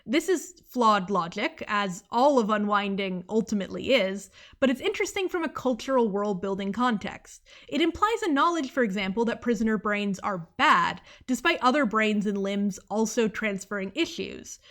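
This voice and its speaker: A woman's voice: mid-range voice, lively intonation, moderate pace, hardly any pauses, fairly bright tone. A young woman delivering a scripted book review video essay, earnest and analytical, drifting off into asides now and then. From United States. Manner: earnest, analytical, with sidetracks and small laughs.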